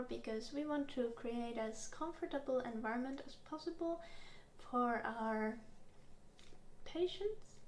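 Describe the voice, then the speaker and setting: Soft-spoken high-voiced Finnish woman, slow. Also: roomy sound, slight background noise. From Finland. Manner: gentle, unhurried, with soft giggles and filler words. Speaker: ASMR roleplay interviewer. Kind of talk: soft-spoken ASMR roleplay.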